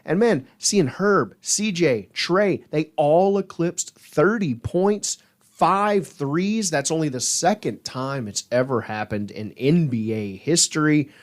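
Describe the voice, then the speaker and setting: A man's voice: on the high side, lively intonation, slow. A man hosting a sports podcast, talking basketball casually in a folksy, enthusiastic fan-like way.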